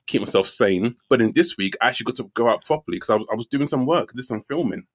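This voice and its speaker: A man's voice: medium pitch, animated, very fast, dry recording. A young man from London, a comedian co-hosting a podcast, telling laid-back, joking stories with swearing and lots of fillers. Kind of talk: casual comedy podcast chat.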